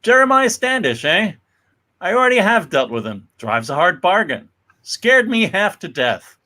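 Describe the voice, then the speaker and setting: A man's voice: high voice, sing-song, normal speed, bright, forceful tone, full character voice. A man acting a genial middle-aged father and merchant in a dramatic comic reading, hearty and sociable.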